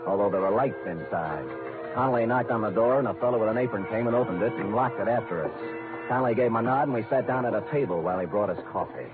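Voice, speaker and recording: A wry, hard-boiled American detective played by a male actor in a mid-century radio drama. His voice is on the low side and his delivery is clipped and tough. The recording is narrow and vintage, with faint music. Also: animated, quick pace, close to an everyday voice. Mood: tense narration. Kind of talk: 1940s-50s radio noir acting and first-person narration.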